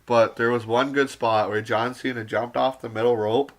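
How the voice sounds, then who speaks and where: A man giving a rambling, casual spoken review full of fillers and mild swearing, his voice turning raspy with occasional coughs. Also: medium pitch, fairly even intonation, normal speed. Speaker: wrestling show reviewer.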